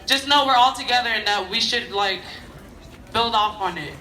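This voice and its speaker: A hoarse teenage boy who has lost his voice, giving a casual, impassioned speech at a school protest. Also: very high voice, normal speed, slight background noise. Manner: casual, lots of "like", builds to shouting. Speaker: student speaker at a protest. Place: outdoor school protest crowd. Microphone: phone camera in the crowd. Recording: far-off phone recording of an echoey crowd.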